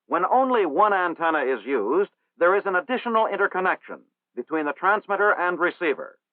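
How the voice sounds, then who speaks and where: Formal 1960s training film narrator. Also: male voice, sits high, expressive, no echo. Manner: formal, measured, instructional. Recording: old 1960s film soundtrack, tinny.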